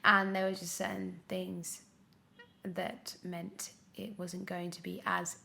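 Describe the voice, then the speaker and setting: A woman in her twenties chatting casually as an interview guest, candid and animated, often correcting herself mid-thought. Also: fairly low pitch, takes it slowly, creaky, a little hiss or hum. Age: 20s. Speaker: guest, young actor.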